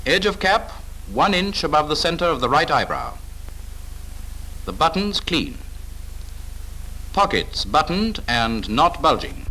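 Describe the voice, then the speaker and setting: A British man narrates a wartime-era 1940s training film with clipped authority. His voice is bright and fairly high, swinging in pitch as he gives firm instruction. It has the sound of an old film soundtrack. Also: sing-song, unhurried, long pauses, a little hiss or hum, poor audio.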